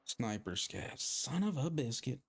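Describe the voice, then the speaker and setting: A man with a gravelly, creaky edge to his mid-pitched voice chats through a gaming livestream. He rambles loosely, trails off, and pokes fun at himself while he plays. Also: big pitch swings, measured pace, faint music behind. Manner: rambling, self-deprecating, chatting with viewers while playing. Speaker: streamer. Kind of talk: casual gaming livestream chat.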